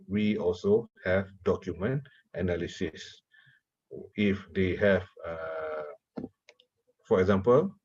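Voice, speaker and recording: A man from Malaysia whose first language is Malay, giving a slow, deliberate university lecture and putting questions to his audience. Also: mid-range voice, dull audio. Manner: slow, deliberate, repeats words while asking the audience questions.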